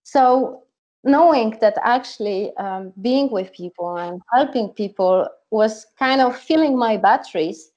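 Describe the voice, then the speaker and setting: A woman's voice: on the high side, expressive, measured pace, a little mellow. A woman, a psychologist telling her story earnestly in an online talk. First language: Polish. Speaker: psychologist and coach. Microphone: video call. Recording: lo-fi video call audio.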